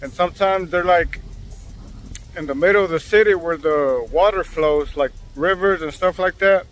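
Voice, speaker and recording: A man's voice: a little high, moderate pace, a bit bright, rough recording. A man with Mexican roots vlogging casually about his trucking runs, rambling with lots of fillers and restarts. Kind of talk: casual vlog chat. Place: in and around his truck, on the road.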